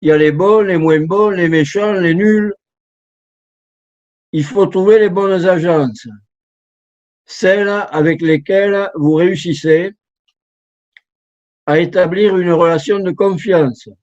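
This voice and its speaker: A man's voice: high voice, takes it slowly, long pauses, a little mellow. A middle-aged man, a professional translator, giving an informal conference talk from home over a video call, with frequent restarts and repeated words. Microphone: computer mic on a video call. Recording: dull lo-fi video call audio.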